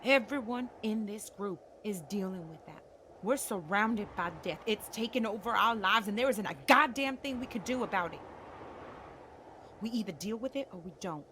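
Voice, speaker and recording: A woman plays a stern, grief-hardened survivor in a motion comic, her medium-pitched voice forceful and urgent. Faint ambient noise sits behind her. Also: expressive, moderate pace.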